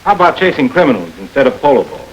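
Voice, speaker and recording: A man's voice: medium pitch, sing-song, rapid-fire, lightly acted, low-quality recording. A man voicing an idealistic district attorney from an old crime picture, speaking with an earnest, speechmaking lilt that rises and falls a lot. A faint hiss sits under his voice. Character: idealistic new district attorney rallying men. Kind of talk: 1930s film crime drama.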